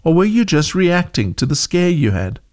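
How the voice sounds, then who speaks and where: A man voicing a gentle, caring mother in a children's story, speaking softly and tenderly. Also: on the high side, sing-song, normal speed, voice pushed up.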